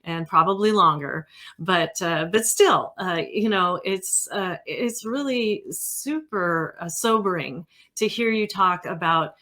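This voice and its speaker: A woman's voice: fairly low pitch, slow, pushed and bright. A middle-aged or older woman from California with an American accent, hosting an interview in a casual, hesitant, rambling way. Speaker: interview host.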